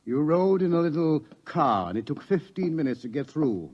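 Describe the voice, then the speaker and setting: An ominous scientist in a lo-fi radio drama. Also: male voice, a little high, very animated, moderate pace, a little mellow, slight background noise. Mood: darkly wry. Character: authoritative older male scientist explaining impending doom. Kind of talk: old radio drama acting. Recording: dull lo-fi old radio recording.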